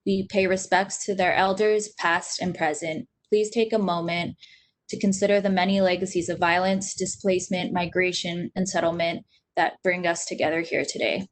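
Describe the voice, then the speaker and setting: A woman's voice: on the low side, flat delivery, normal speed. A young woman from Hawaii opening an online panel as host, speaking earnestly and steadily with frequent ums, recorded on a laptop webcam during a video call. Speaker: event host. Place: small indoor room at home.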